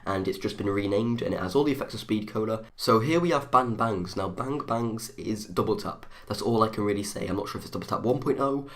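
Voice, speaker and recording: A young man with a bunged-up, runny nose, talking through a rushed gaming video in a fast, rambling way. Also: fairly low pitch, fairly bright tone. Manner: fast, rambling, thinks aloud.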